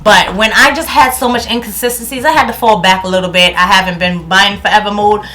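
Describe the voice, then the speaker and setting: A woman's voice: mid-range voice, brisk, sharp tone, a little hiss or hum. A woman in her thirties from New York with a New York accent, chatting casually through a product review at home and laughing often. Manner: chatty, laughs often, loses words and restarts. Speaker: beauty vlogger. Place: home room, indoors.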